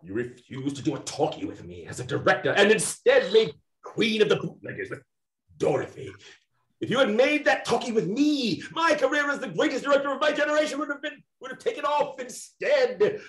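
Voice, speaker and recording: An actor playing a slippery, grandiose gentleman crook and failed film director in a 1920s comedy radio play. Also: very high-pitched, expressive, brisk. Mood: indignant, aggrieved. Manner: grandiose, theatrical. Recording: roomy, echoing sound.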